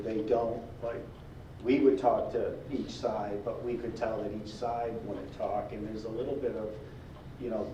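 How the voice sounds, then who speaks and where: A middle-aged man reflecting in halting phrases as a documentary maker on a discussion panel. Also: medium pitch, warm tone. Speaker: documentary crew member on a panel. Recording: muffled, echoey room, distant mic, noisy.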